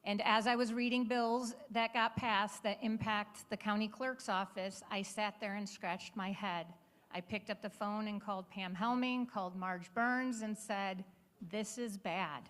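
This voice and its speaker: A woman with a mid-pitched voice addresses an audience in a semi-formal political speech. Her delivery is emphatic and plain-spoken. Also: fairly even intonation, a little slow, a bit bright. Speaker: state assembly member, panel speaker.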